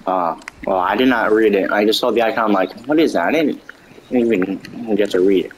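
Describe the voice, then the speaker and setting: A man chatting casually with friends while playing a battle royale game, reacting quickly and excitedly. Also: lowish voice, slight background noise, poor audio. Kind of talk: casual gameplay chat.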